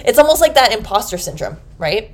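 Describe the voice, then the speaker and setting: A woman's voice: on the high side, very animated, brisk. A woman in her late twenties, a teacher, chatting casually, laughing often and swearing freely. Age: 20s. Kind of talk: casual chat.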